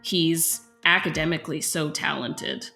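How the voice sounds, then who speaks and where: A woman's voice: deep voice, fairly even intonation, unhurried, gravelly, bright, forceful tone, soft background music, exaggerated voice. A woman playing a concerned, slightly overwhelmed special education teacher, talking candidly and hesitantly in naturalistic dialogue. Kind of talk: naturalistic acted interview dialogue.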